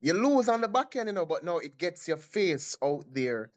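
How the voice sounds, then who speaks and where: A man's voice: high voice, very animated, moderate pace. A man with a Jamaican accent on a discussion panel, making his points in a reasoned, step-by-step way. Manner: reasoned, calls himself a numbers guy.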